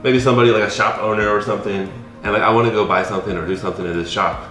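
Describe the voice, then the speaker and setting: A man in his thirties, chatting casually as a guest, relaxed and filler-heavy, with many "like"s. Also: lowish voice, racing. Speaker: guest teaching Taiwanese. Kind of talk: casual chat. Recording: echoey room with faint music.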